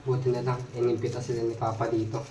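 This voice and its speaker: A troubled youth acted out by a student performer in an amateur stage drama, pleading with elders and brooding. The sound is echoey, with clear background noise. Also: medium pitch, monotone, fast talker, mellow, recorded from a distance, lightly acted. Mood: brooding, weary. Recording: echoey noisy hall stage recording.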